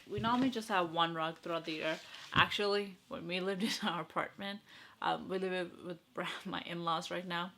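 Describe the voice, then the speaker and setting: A young woman chatting casually to her viewers in an excitable, gushing, giggly way. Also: fairly low pitch, slight background noise. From Sri Lanka and Los Angeles. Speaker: vlogger.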